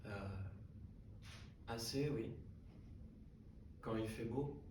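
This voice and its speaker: A man of middle pitch reads a literary text aloud to an audience in a hotel salon, taking his time and sometimes stumbling and restarting a phrase. He sits well away from the microphone, so his voice rings through a strongly reverberant room. A steady layer of background noise runs underneath. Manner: unhurried reading with frequent hesitations and restarts. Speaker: reader at a book prize reading. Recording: echoey room, distant mic, background noise.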